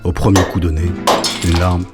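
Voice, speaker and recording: A man narrating a sombre audio drama as a storyteller, measured and solemn. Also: low-pitched, flat delivery, close to an everyday voice. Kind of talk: scripted literary narration. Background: music.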